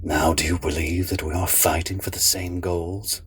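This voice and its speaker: A very low male voice from an actor over noisy ambience. He is a sinister, cryptic man in an audio drama, measured and theatrical, as if he knows more than he tells. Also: little pitch movement, natural voice. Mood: knowing, cryptic.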